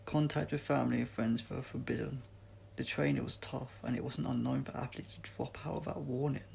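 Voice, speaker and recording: A man's voice: mid-range voice, steady tone, fairly quick, creaky, darkish tone, a little hiss or hum. A man reading a story aloud as an audiobook narrator, in an amateur style with occasional stumbles. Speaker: audiobook reader.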